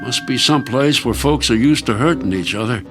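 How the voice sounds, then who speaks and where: A man's voice: medium pitch, fairly quick, over music, lightly acted. A man acting as a blind, protective farmer, a folksy creature in a suspense radio drama. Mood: tender, relieved. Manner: folksy, rustic, plainspoken. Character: blind, protective male alien farmer, devoted husband.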